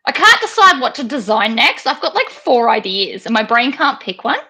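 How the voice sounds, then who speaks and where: A loud, excitable woman whose voice leaps around with animated swings in pitch. Her tone is bright and forceful as she chats over a headset on a video call from her sewing room. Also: moderate pace. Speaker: sewing pattern designer hosting a live sew-along. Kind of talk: casual live-stream chat. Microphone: headphones with mic on a video call.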